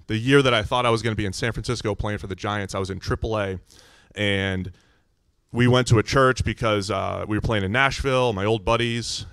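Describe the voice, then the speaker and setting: Low, booming American man giving church testimony. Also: clear, resonant tone, no room sound, clean studio sound. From Massachusetts, United States. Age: middle-aged. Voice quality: loud, booming voice. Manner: informal, conversational storytelling. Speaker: visiting church speaker. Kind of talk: personal testimony to a congregation.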